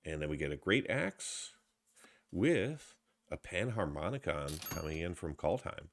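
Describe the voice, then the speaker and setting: Man, very low voice, lively pitch, stuffy from a cold, low-energy, casual card-opening commentary. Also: unhurried, gravelly. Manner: low-energy, listing card names with frequent uh fillers. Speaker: card box opener.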